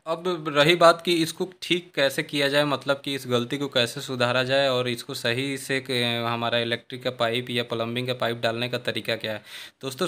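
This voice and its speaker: A man's voice: medium pitch, fairly bright tone, smooth. A man explaining construction mistakes to viewers while walking through an unfinished brick house, recorded on a handheld phone. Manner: instructive, addresses viewers as friends, frequent restarts. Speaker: civil engineering channel host. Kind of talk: walkthrough explainer on a building site.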